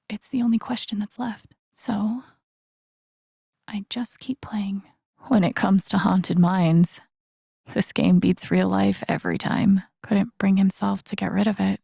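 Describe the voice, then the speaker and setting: Young woman game heroine, breathy, gravelly edge, soft-spoken, wry, introspective, naturalistic delivery. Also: mid-range voice, no echo, studio quality. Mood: pensive, wounded, then subdued. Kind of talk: naturalistic video game voice acting, inner monologue.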